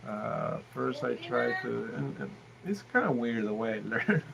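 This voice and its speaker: Gravelly man. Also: mid-range voice, lively intonation, over a noisy crowd. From Mexico. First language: Spanish. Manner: halting, with frequent restarts and pauses. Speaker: live stream host. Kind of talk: casual live stream chat. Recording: noticeable background noise.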